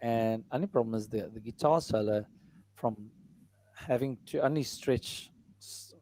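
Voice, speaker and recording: A middle-aged man with a baritone voice, talking casually about his music in an interview, rambling with many fillers and restarts. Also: unhurried, warm tone. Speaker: musician and entertainer.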